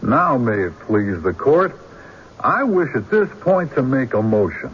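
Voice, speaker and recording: Shrewd country lawyer arguing in court, pitch swinging all over, old dull radio drama sound. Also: male voice, big pitch swings, husky, heavily acted. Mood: indignant. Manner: theatrical, deliberate courtroom rhetoric.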